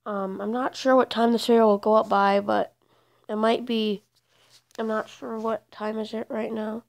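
A woman's voice: a little high, level tone, moderate pace, creaky. A woman with a stuffed-up, congested voice hosting a gaming channel, giving rambling, hesitant game commentary full of fillers. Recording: loud background noise.

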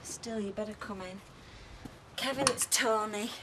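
An actress playing a wary woman of the house, speaking in soft, hushed tones. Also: mid-range voice, measured pace, background noise, close to an everyday voice. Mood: concerned.

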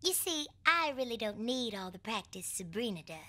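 A woman voicing a sharp-tongued, teasing young girl with a dry manner. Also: a little high, very animated, normal speed.

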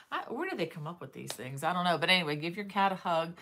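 A woman's voice: on the low side, sing-song, fast talker, pushed and bright, slight background noise. A middle-aged woman hosting a crochet livestream from her living room, chatting casually and greeting viewers by name, with many fillers. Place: living room with bookshelves, indoors.

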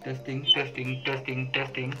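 A man with a Malaysian accent casually unboxing and demonstrating something, halting and repeating words as he explains. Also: mid-range voice, completely flat delivery, sharp tone. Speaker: vlogger. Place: indoors at a table at home. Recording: music playing behind the voice.

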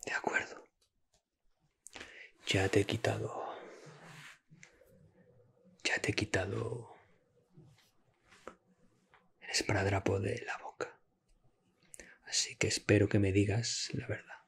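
A man with an accent from Spain playing a menacing captor in an ASMR roleplay, speaking in a hushed, breathy, husky whisper. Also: lowish voice, flat delivery, slow, long pauses, full character voice. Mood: hushed, stern. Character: menacing male captor whispering to his captive.

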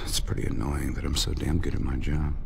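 An actor playing a cocky, sardonic wealthy weapons inventor in a video game, with music behind him. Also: male voice, extremely low pitch, flat delivery, a little fast, creaky, full character voice. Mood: exasperated, sarcastic.

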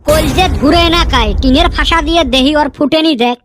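A woman's voice: sits high, fairly quick, over music, natural voice. A woman voicing a whiny broke young man. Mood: teasing. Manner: whiny, complaining. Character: broke, lazy young man who hates cold. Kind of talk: cartoon voice acting.

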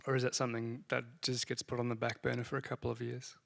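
Audience man with a flat, gravelly voice, polite and tentative. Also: mid-range voice, quick pace. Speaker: audience member asking a question. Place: lecture hall audience.

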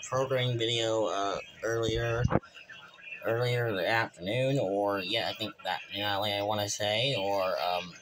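An American man giving a casual vlog update in a halting way, often repeating words and phrases. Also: fairly low pitch. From United States. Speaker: hobbyist YouTuber. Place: small room at home, indoors. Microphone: handheld phone or camera. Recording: very noisy handheld home recording. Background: loud background noise.

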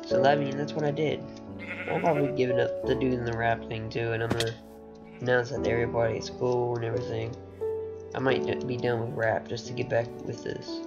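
A teenage boy with a recently deepened voice, rambling and chatty as he gives casual commentary over his own gameplay. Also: dull audio. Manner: rambling, chatty, laughs often. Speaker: gaming channel host.

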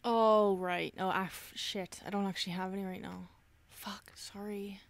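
A woman's voice: medium pitch, level tone, normal speed, gravelly, dry recording, natural voice. A woman acting a casual, motherly, sweary young goth in an ASMR roleplay. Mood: flustered.

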